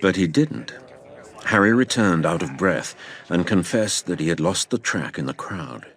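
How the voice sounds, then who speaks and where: An actor playing a man recounting his boyhood sea adventure, in measured, literary scripted narration over faint ambience. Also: low voice, lively intonation, fairly bright tone. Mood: grave. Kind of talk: scripted narration in audio drama.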